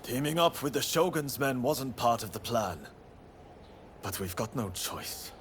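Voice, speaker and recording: A man's voice: medium pitch, animated, a little slow, a little hiss or hum, close to an everyday voice. A man playing a proud, defiant young rebel swordsman in a video game cutscene, bold and intense. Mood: grudging resolve.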